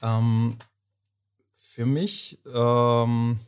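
A young man from Afghanistan co-hosting a podcast, talking hesitantly with many pauses and fillers as he searches for words. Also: mid-range voice, flat delivery, clear, resonant tone. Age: young adult. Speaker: podcast co-host. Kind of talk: casual podcast talk.

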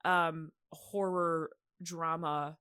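A woman podcast host chatting casually in a halting, informal way, often dropping in "like" as she thinks aloud. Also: deep voice, flat delivery, pushed and bright, clean tone, no room sound, studio quality. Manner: halting, informal, filler-heavy with "like". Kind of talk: casual chat about horror radio.

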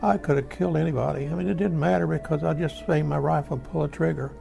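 Actor as a very gravelly old American war veteran. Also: male voice, fairly high pitch, steady tone, mellow. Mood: remorseful. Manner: halting, plainspoken, folksy reminiscing. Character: old American WW2 veteran, remorseful, haunted by killing. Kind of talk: radio drama monologue, recounting war memories. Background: music behind the voice.

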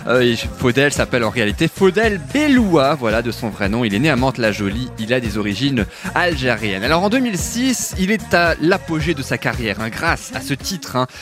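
A man's voice: mid-range voice, very animated, a little fast, hardly any pauses. A man presenting music on the radio in a chatty, conversational way, filling his talk with small fillers. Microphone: studio microphone.

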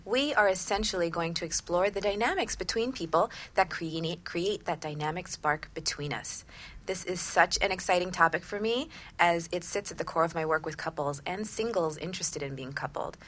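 A middle-aged woman co-hosting a relationship talk show on the radio as a coach, presenting in an enthusiastic, expressive way that moves between script and chat. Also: on the low side, normal speed.

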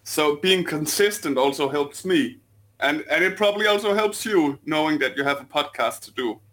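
A man's voice: fairly high pitch, normal speed, fairly bright tone. A man with a Danish accent co-hosting a podcast, playful and often repeating words as he talks. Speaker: podcast co-host. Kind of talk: casual podcast chat.

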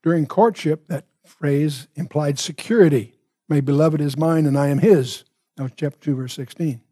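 An older man gives an expository Bible lesson. His voice is husky and mellow, pitched a little high for a man. He explains and restates in a measured way, recorded close and dry. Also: dry recording. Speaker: Bible teacher. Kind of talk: expository Bible teaching with verse readings.

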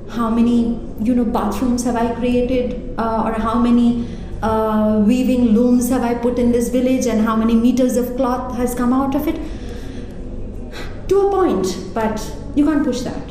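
A woman from India, speaking English as a second language, giving an animated interview with emphatic restarts. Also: fairly high pitch, heavy reverb, noisy background. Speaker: interview guest, arts and culture figure. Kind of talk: conversational interview.

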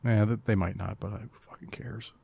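Low, gravelly man bantering on a podcast. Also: fairly even intonation, fairly quick, mellow. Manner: joking, wry. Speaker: podcast co-host.

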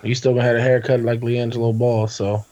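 A man's voice: medium pitch, flat delivery, quick pace, mellow, muffled recording. A man co-hosting a podcast in casual banter, shutting others down with dismissive, teasing comebacks. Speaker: podcast co-host.

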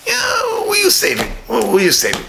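A middle-aged man with an Israeli accent giving a spiritual lecture to a live audience. He speaks with non-native grammar and jumps up high when he acts out what other people said. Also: huge pitch swings, quick pace, creaky, echoey room, background noise.

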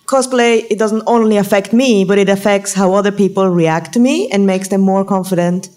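A woman in the audience asking the panel an earnest, measured question. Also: medium pitch, darkish tone.

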